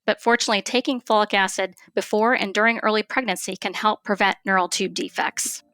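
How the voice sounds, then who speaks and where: A woman speaking as an expert physician guest in an interview, explaining things in a measured, formal and precise way. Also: medium pitch, steady tone, a bit bright, dry recording.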